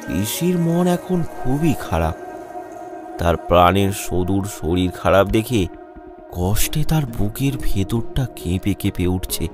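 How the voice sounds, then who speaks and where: A man narrating a suspenseful animated horror story in a dramatic storytelling way. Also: low voice, unhurried. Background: background music.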